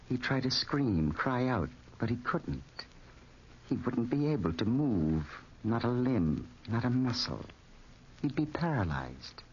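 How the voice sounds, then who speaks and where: A man playing a fat, sinister, falsely friendly villain in 1940s radio style, savoring his words unctuously. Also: mid-range voice. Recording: muffled, noisy 1940s radio recording.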